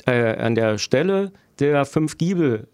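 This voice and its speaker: A middle-aged man from Germany answering interview questions as a local politician, explaining deliberately and haltingly with dry irony. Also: medium pitch, animated, darkish tone, clear, resonant tone, no room sound. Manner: deliberate, halting, dryly ironic. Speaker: local politician interviewed, road planner by profession.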